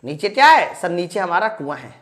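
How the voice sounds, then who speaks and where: A woman's voice: on the low side, very animated, fairly quick. A woman teaching physics in an online class, informally addressing students, asking questions and answering them herself. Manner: asks questions and answers them herself, repeats key lines. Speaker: physics teacher for exam preparation. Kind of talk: live online class, explaining problems step by step.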